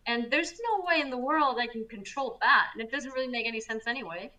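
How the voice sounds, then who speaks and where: A woman co-hosting a spiritual astrology show, talking in an energetic, emphatic way in casual chat over a video call. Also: on the high side, fairly quick, distant mic, low-quality recording. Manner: energetic, emphatic, self-described loud. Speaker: co-host, astrologer.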